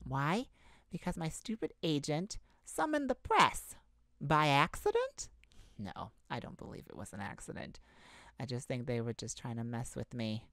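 Tired, anxious female pop star for intimate audio roleplay, acted by a woman: halting delivery, low pitch, very dry close sound. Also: lively intonation, no echo. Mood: weary, irritated. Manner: halting, hesitant, confiding. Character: exhausted, anxious pop star confiding in her bodyguard lover. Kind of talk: intimate ASMR roleplay acting.

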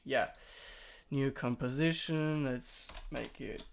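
A young man who is a bit sick, walking through a software tutorial in a rambling, casual way with many fillers and restarts. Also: medium pitch, slow, vocal fry, slight background noise. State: sick, throat-clearing voice. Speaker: tutorial streamer. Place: home room.

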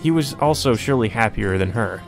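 A man with a fairly low voice reads through a visual novel as a let's player. His delivery is informal and colloquial, suited to both narration and casual commentary. Game music runs behind him. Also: animated, a little fast, gravelly.

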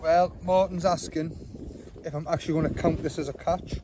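A man's voice: fairly high pitch, steady tone, normal speed. A man in his thirties with a North East English accent, chatting casually as he presents an outdoor fishing vlog on a phone. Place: outdoors on a riverside wooden jetty. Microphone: phone at arm's length. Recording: windy outdoor phone recording, loud noise.

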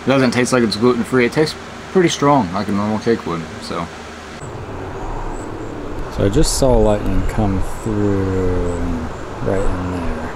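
A man's voice: fairly low pitch, unhurried, pauses often. A man in his twenties chatting casually to a camera in a laid-back, colloquial way. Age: 20s. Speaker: vlogger. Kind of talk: casual vlog chat. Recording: noisy handheld camera audio with ambient hum.

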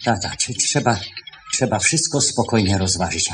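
An actor playing a suspicious, irritable shopkeeper in a radio play, stammering and repeating words insistently. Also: male voice, fairly low pitch, expressive, moderate pace, roomy sound, natural voice. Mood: deliberate, pondering. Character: suspicious, irritable male shopkeeper interrogating his assistant. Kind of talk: 1970s Polish radio play acting. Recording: noisy old 1970s radio recording.

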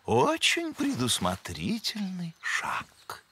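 A man's voice: sits high, huge pitch swings, takes it slowly, slightly rough, heavily acted. A man voicing a pompous, learned cartoon moose with theatrical, florid scholarly phrasing. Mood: serene, smug. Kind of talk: animated cartoon voice acting. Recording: cartoon soundtrack with loud music behind.

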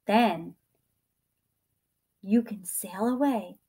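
A woman's voice: mid-range voice, very slow, mellow, slight background noise. A woman voicing a loving, generous female tree in a children's story, coaxing and eager to please. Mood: gentle, offering. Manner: coaxing, giving.

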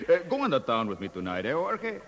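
An actor playing a friendly, earnest workman and secret rebel in a 1950s radio drama, coaxing and deliberate. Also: mid-range voice, huge pitch swings, very noisy. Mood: jovial, teasing.

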